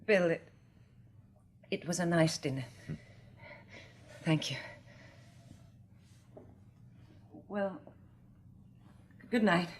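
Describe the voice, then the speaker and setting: A woman's voice: on the low side, slow, a little hiss or hum. An actress playing a frightened, weary young woman surviving an apocalypse in a radio drama, emotional and hesitant. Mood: weary, subdued.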